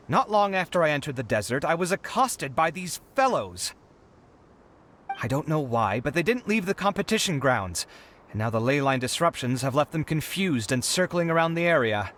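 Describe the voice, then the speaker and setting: A man's voice: on the high side, very animated, moderate pace, a bit bright, slight background noise. A man playing a harried young inventor in a video game, dramatic and put-upon but kind-hearted, with an exasperated manner. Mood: upset, complaining. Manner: exasperated, theatrical, sighing. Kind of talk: animated video game character acting.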